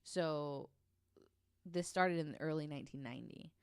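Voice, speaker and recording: A woman's voice: deep voice, moderate pace, clear, resonant tone, no room sound, studio quality. A woman telling a researched story on a podcast in a casual, conversational way, with an informal, hesitant delivery.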